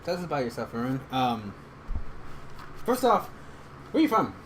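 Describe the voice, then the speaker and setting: Man, podcast host, mid-pitched, joking, many ums, background noise. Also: big pitch swings, normal speed, a bit bright. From Texas. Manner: loose and joking, many fillers. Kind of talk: casual chat.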